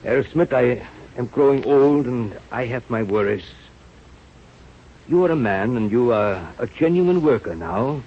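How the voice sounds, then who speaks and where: An actor playing an aging, earnest scientist mentor with a halting, solemn delivery in a radio drama. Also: male voice, medium pitch, expressive. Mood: solemn, fatherly. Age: older. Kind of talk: 1930s radio drama acting. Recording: muffled, noisy old radio recording.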